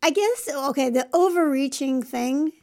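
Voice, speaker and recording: Creaky, high-voiced woman with a cold. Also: unhurried, darkish tone. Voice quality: croaky, strained voice. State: has a cold. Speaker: podcast co-host, company co-founder. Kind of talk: casual podcast chat.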